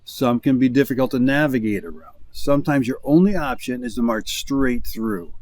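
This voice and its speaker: A man's voice: mid-range voice, expressive, moderate pace, darkish tone, dry recording. American man narrating a hiking trip. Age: middle-aged. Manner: wry, understated storytelling. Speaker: hiking vlogger. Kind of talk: scripted travel narration.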